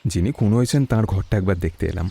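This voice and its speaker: An actor playing a calm young detective in a Bengali audio story, asking questions in a measured, inquisitive way. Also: male voice, low voice, gravelly, slightly rough, no echo, full character voice. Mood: calm, probing.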